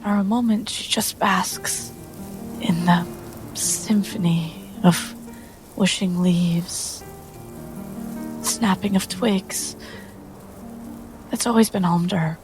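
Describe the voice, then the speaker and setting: A woman narrating her character's actions in a tabletop game, halting with dramatic pauses. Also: on the low side, fairly even intonation, over music. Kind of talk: scene narration in roleplay.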